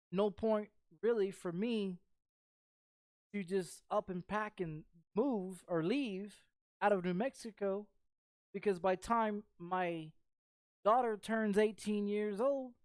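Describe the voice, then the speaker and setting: Woman, thirties, from Texas, mid-pitched, casual rambling streamer chat, blunt, heavy swearing, repetitive phrasing, dry close sound. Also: takes it slowly, darkish tone, dry recording. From Texas, United States. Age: 30s. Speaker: game streamer.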